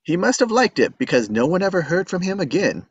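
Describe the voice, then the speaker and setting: A man's voice: high-pitched, very animated, a little fast, husky, dry recording, full character voice. A man playing an adventurous, easygoing young small-town man who tells his own story at a table read. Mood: breezy. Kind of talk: table-read acting with first-person narration. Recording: dull lo-fi microphone sound.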